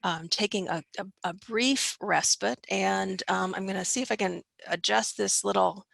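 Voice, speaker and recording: Raspy hoarse woman, friendly trainer. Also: medium pitch, moderate pace, fairly bright tone, no echo. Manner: friendly, with many ums and restarts. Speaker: early childhood educator giving a webinar. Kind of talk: presenting a training talk. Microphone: portable video camera.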